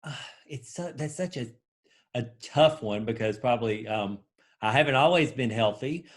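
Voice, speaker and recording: A man's voice: medium pitch, expressive, normal speed. A middle-aged man from Memphis with a Southern accent, chatting as an interview guest in a friendly, self-deprecating way. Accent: Southern American. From Memphis, Tennessee. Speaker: guest, HR executive. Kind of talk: casual interview talk.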